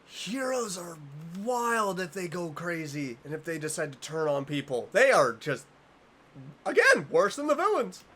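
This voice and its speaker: A man with a fairly high voice gives casual video commentary. He reads on-screen text aloud and muses in short fragments, with noticeable noise behind him. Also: very animated, a little slow, sharp tone. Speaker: reaction video host.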